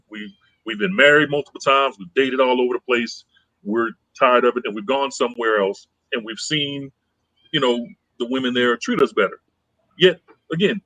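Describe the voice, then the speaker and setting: Husky man, calm and rambling. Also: mid-range voice, no room sound, low-quality recording. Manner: conciliatory, rambling, repeats himself. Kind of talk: casual podcast chat.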